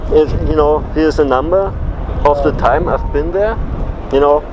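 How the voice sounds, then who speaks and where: A man in his forties from Germany, a native German speaker with a German accent, talking haltingly and casually and leaning heavily on "you know". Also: on the high side, highs cut off. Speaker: interview guest. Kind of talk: casual interview chat. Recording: noisy background with wind on the mic.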